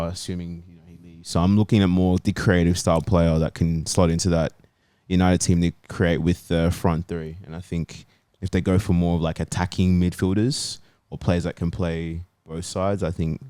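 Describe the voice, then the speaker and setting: A man's voice: deep voice, flat delivery, normal speed, hardly any pauses, darkish tone, no echo, clean studio sound. A man giving his opinion in casual chat as a football fan panellist.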